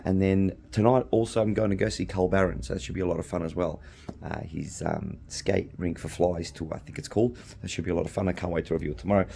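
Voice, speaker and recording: A middle-aged Australian man hosting a podcast alone, slightly hoarse from losing his voice, rambling casually with frequent ums and restarts. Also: sits low, warm tone. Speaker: podcast host. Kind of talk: casual solo chat.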